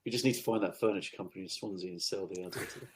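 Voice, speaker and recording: A man hosting a podcast interview, agreeing keenly and thinking out loud. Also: low voice, rapid-fire, slight background noise. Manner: enthusiastic, agreeable, thinks aloud. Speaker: podcast host. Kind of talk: casual interview chat.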